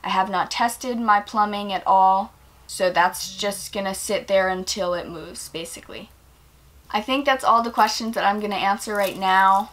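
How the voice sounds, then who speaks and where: A woman of twenty answering viewer questions in a casual vlog, relaxed and rambling with many ums and likes, filmed in a small indoor room. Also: medium pitch, normal speed. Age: 20s. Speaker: vlogger.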